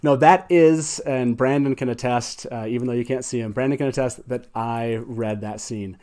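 A man in his forties hosts a show in a casual, conversational way. His voice sits at an average male pitch, picked up by a studio mic. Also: steady tone, moderate pace, dark tone. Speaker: show host. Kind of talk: casual talk introducing a reading. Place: small wood-panelled studio. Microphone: close studio microphone on boom arm.

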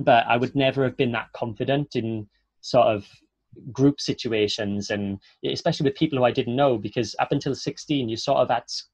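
A man's voice: medium pitch, breathy edge, dry recording. A young Welsh man with a Welsh accent, an actor chatting on a video call, talking in loose runs full of fillers and restarts. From Wales. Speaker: actor, guest speaker. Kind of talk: casual video-call interview. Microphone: earphone mic on a video call. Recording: dry, slightly dull video-call audio.

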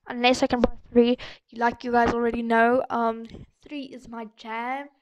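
A woman's voice: a little high, little pitch movement, normal speed, no room sound. A young girl doing a casual, chatty and rambling gaming voiceover as the host of the video. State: sore throat. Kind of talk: casual game commentary voiceover. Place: echoey room at home, voice-over.

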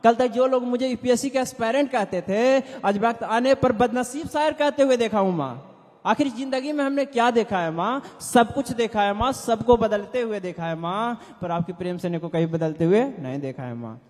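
High-voiced young man reciting poetry. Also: moderate pace, darkish tone, big-room sound. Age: young adult. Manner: declamatory, repeating refrains with rising and falling emphasis. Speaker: open mic poet. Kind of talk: reciting Hindi poetry on stage. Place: indoor event stage before an audience. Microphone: stand mic.

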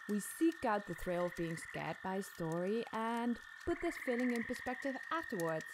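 A woman podcast host delivering dramatic scripted storytelling. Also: fairly high pitch. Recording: clear background night ambience noise.

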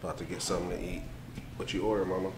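A low-pitched American man talks to his handheld camera in an easy, casual vlog style. He is laid-back and tends to repeat himself. Clear ambient noise sits behind his voice. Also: flat delivery, rapid-fire. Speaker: vlogger. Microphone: handheld camera at arm's length.

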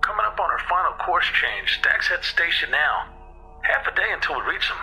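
A man's voice: sits high, lively intonation, brisk, vocal fry, bright, forceful tone, faint music behind, exaggerated voice, low-quality recording. A man playing a blunt, casual space transport pilot in an audio drama. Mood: resigned.

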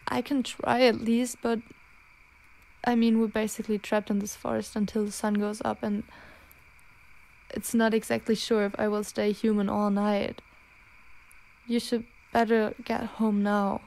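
Woman doing a worried werewolf girl for asmr roleplay, kinda high voice w lots of fry, hesitant, protective, pleading softly. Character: anxious, self-loathing young female werewolf, protective and gentle. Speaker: amateur roleplay voice actor. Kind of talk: intimate ASMR girlfriend roleplay.

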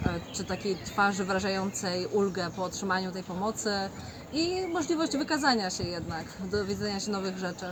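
Woman volunteer interviewed amid clear outdoor noise. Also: mid-range voice, brisk. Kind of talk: short interview answer. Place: outdoor fair. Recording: noisy outdoor event.

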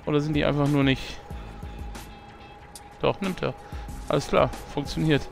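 A hoarse man hosting a let's play, chatting casually and self-mockingly, thinking aloud with fillers as he plays. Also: fairly high pitch, fairly even intonation, moderate pace, over music. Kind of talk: casual gameplay commentary.

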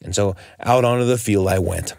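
A man's voice: on the low side, moderate pace, gravelly, darkish tone, no room sound, clean studio sound. An American man telling a scripted personal story as a radio host, in the manner of a storyteller.

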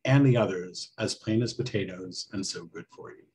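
A man's voice: lowish voice, moderate pace, darkish tone, husky. A middle-aged man reading a poem aloud over a video call. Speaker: panel guest, playwright. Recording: faint background noise, video call.

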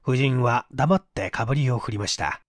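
A man reading a mystery story aloud as scripted audiobook narration, in an expressive, literary manner. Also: medium pitch, moderate pace, no echo, studio quality. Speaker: audiobook narrator, stage actor.

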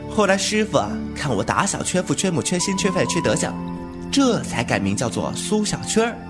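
A man's voice: a little high, very animated, fairly quick, over loud music. A man playing a glib, cheeky young swordsman in a Chinese martial-arts radio drama, with a teasing, flippant manner.

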